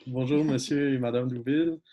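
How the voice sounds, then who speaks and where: A young man with a Québécois French accent, a new graduate thanking donors, speaking hesitantly with many pauses and audible emotion. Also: medium pitch, fairly even intonation, muffled recording, a little hiss or hum. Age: young adult. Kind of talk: spontaneous thank-you speech. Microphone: video call.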